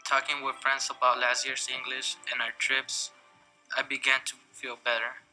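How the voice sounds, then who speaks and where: A man as a flat-voiced teen student over music. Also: medium pitch, moderate pace, low-quality recording. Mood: reflective.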